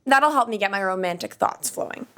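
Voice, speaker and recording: An actress playing a bossy, excitable young woman who takes charge in a podcast sitcom. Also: mid-range voice, a little fast, smooth. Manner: bossy, excitable, self-assured. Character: Laura, bossy, eager young woman planner.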